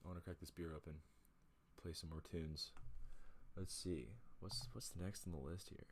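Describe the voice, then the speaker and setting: A young man with a very low voice rambles easily between tracks, joking at his own expense. He talks in a relaxed, unhurried way, with a faint hum of room noise behind him. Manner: rambling, self-mocking and laid-back. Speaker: host. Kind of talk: casual chat between songs.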